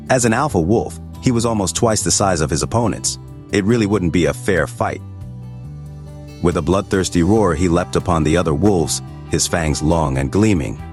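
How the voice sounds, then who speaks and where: A man narrating fiction in a scripted, dramatic audiobook style. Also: deep voice.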